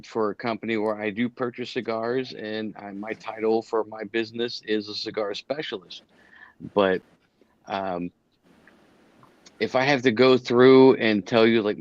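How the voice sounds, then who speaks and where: A man in his thirties, a podcast guest, telling stories in a relaxed, rambling way. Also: lowish voice. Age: 30s. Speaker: guest, cigar specialist. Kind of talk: casual storytelling chat. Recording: dull muffled audio.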